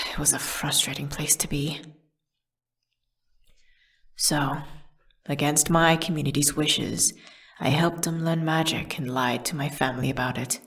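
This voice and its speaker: Low, hushed woman playing a solemn condemned witch. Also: steady tone. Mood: somber, wistful. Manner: hushed, breathy, measured dramatic delivery. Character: condemned witch solemnly recounting her tragic story. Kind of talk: dramatic first-person ASMR audio storytelling.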